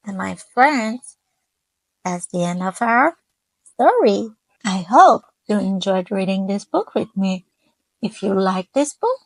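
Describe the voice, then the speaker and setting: A woman reading a children's picture book aloud, gently stopping to ask her young listeners questions. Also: medium pitch, no room sound, poor audio. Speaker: children's storyteller.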